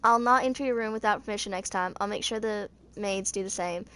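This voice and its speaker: A woman's voice: medium pitch, a little fast, fairly bright tone, natural voice. Woman reading as an earnest, admonishing older brother. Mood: awkward, muttering. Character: earnest, lecturing older brother of a rich family.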